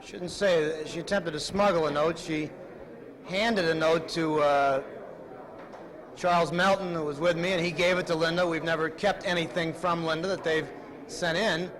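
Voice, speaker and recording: A man's voice: on the high side, steady tone, normal speed, fairly bright tone, poor audio. An American man, an attorney giving press remarks in a blunt, fed-up, plain-spoken way. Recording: archival newsreel with crowd noise.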